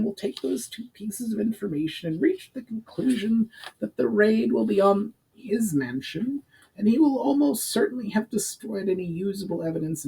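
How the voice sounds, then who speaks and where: A man's voice: high-pitched, creaky, dark tone, slightly rough, voice pushed up, exaggerated voice, poor audio. A man voicing a shrewd, scheming goblin strategist with an eager, calculating manner and formal diction, in a storybook reading.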